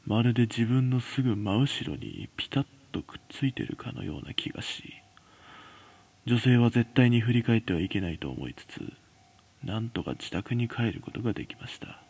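A man narrating a scripted horror story as a podcast narrator, in a measured, dramatic way with pauses. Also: mid-range voice, vocal fry, darkish tone, slightly rough.

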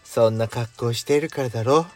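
A man playing a devoted husband in an amateur radio drama, gently teasing and flirtatious. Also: mid-range voice, fairly quick, natural voice. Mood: tender, teasing. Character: devoted husband home late from work. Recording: background music under speech.

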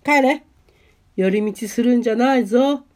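A woman's voice: fairly high pitch, normal speed, darkish tone, lightly acted. A woman playing an easygoing, oblivious, kindly male schoolteacher in an amateur radio drama, with casual masculine speech. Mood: teasing.

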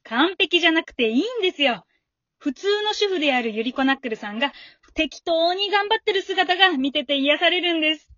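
An amateur actress playing a friendly, encouraging female fan who talks politely and gives gentle advice in a radio drama. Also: very high-pitched, expressive, fairly quick, no echo, dull audio, full character voice. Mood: admiring. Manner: polite, supportive, gently advising.

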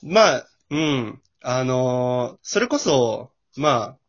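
Guy in a casual cast chat, joking at himself, animated pitch, dull lo-fi audio. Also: slow pace. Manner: self-deprecating, joking. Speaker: voice cast member, guest. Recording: muffled lo-fi audio.

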